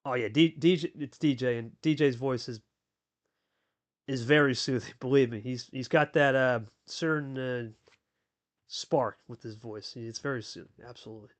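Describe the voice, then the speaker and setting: A man doing casual play-by-play commentary on a card game, chatty and hyped, talking with his co-host. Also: normal speed. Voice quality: deep radio voice.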